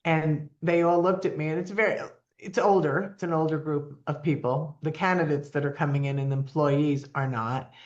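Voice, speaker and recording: A woman's voice: low-pitched, steady tone, moderate pace, hardly any pauses, lo-fi sound. A woman in her seventies, a nonprofit founder talking as a guest in an earnest, plainspoken way with frequent ums. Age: 70s. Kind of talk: casual interview talk.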